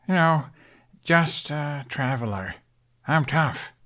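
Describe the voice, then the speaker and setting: A man's voice: on the high side, lively intonation, takes it slowly, sharp tone, voice pushed up, full character voice. A man acts out a young storybook traveler, a lad far from home. He is easygoing and teasing, with short, casual replies. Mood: careful, polite.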